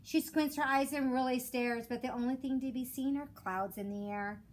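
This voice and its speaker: A woman who teaches elementary school reads aloud expressively for children in a measured storytelling way. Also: high voice, steady tone. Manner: measured storytelling with rhymed cadence. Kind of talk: expressive children's picture book read-aloud. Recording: clear background noise.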